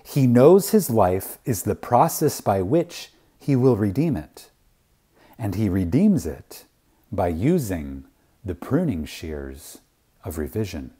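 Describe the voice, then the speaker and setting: A man reading an inspirational spiritual lecture reverently and dramatically, with deliberate pauses, weighty emphasis and phrases that trail off softly. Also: lowish voice, sing-song, slightly rough.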